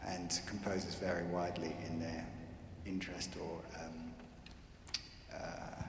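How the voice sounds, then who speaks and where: A man's voice: sits low, level tone, a little slow. A man who handles the electronics, explaining at a handheld mic in a church, rambling and full of fillers. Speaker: electronics collaborator answering questions. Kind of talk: unscripted Q&A answers. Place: large church. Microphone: handheld microphone. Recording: echoey church with faint noise.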